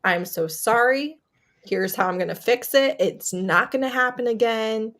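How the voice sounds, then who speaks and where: A woman's voice: fairly high pitch, unhurried, fairly bright tone. Emphatic woman in casual conversation. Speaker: book vlogger and co-host. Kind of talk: casual chat.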